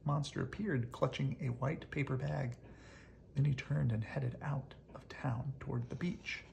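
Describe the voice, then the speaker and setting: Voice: medium pitch, steady tone, a little slow, vocal fry, a little mellow, a little hiss or hum. A storybook reader narrating expressively aloud for children, as if at bedtime.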